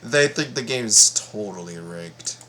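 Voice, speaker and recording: Slow, halting low-voiced man. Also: expressive, bright, forceful tone. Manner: halting, stammering, repeats phrases, profane slang. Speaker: reaction video host. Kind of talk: casual game reaction commentary. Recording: noticeable background noise.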